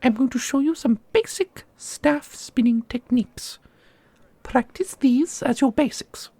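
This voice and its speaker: A woman playing an ancient custodian and combat mentor in an ASMR roleplay, doting and sharply sarcastic, with a husky, creaky voice. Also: high voice, unhurried, warm tone, dry recording, exaggerated voice. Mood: doting, instructive. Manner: theatrical, teasing, instructive. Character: millennia-old custodian mentor, doting on pupil, witheringly sarcastic.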